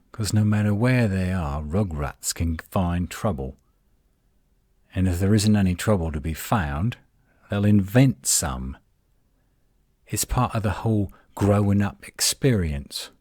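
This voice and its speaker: A man playing a cocky, sardonic werewolf guardian in a roleplay audio, teasing and flirtatious, with a husky, drawling delivery. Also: deep voice, animated, measured pace, a little mellow, dry recording, studio quality. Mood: teasing, seductive. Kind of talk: roleplay audio acting, one side of a dialogue.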